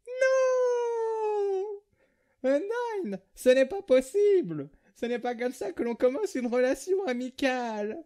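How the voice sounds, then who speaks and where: A woman's voice: very high-pitched, sing-song, takes it slowly, warm tone, exaggerated voice. A woman voicing a distressed, pleading peacemaker in a comic dub.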